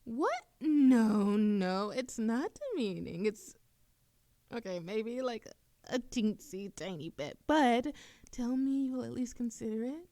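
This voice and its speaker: A woman acts out a young witch in love, affectionate and playful but easily flustered, in an intimate romantic audio roleplay. Her delivery is slow, soft and halting, with a teasing edge and a creaky texture. The sound is very dry, with no room around the voice. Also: fairly high pitch, no echo.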